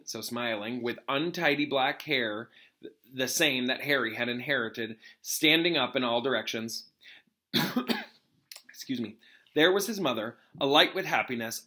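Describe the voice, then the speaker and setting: A man's voice: medium pitch, bright, forceful tone. A man reading a novel aloud as a teacher, expressively, with informal explanatory asides.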